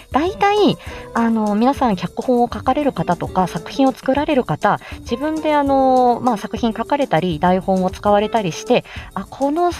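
Animated woman explaining things over music. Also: a little high. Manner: chatty, explanatory, greets listeners by name. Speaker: presenter teaching how to make voice samples; speech therapist and amateur voice actor. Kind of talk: informal instructional audio livestream.

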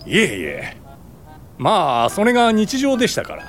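Pompous, wildly animated preachy ex-principal. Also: male voice, high-pitched, moderate pace, soft background music, exaggerated voice. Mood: self-satisfied. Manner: lecturing, self-important, indignant. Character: pompous male former school principal, preachy child welfare officer. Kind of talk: radio drama acting.

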